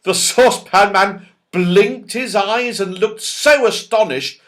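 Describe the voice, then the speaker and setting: A man narrating a children's story aloud in an expressive, dramatic way. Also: high-pitched, slow. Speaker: audiobook reader.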